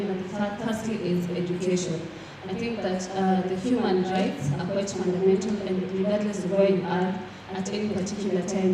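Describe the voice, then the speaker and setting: A young woman from Rwanda speaking earnestly as a panelist, with frequent fillers and restarts. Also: medium pitch. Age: young adult. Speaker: panelist, student representative. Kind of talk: panel discussion answer. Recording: echoey hall from far off with background noise.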